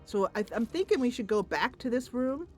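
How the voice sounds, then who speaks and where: A woman's voice: on the high side, expressive, a little fast, warm tone, soft background music. A woman playing a fantasy roleplaying game, thinking aloud with enthusiasm and narrating the story dramatically. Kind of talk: gameplay commentary mixed with dramatic narration.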